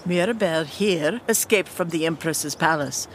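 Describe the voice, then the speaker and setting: An actress playing a stern, composed queen and mother, formal, measured and commanding, in a fantasy audio drama. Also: low-pitched, slight background noise, close to an everyday voice. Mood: stern concern.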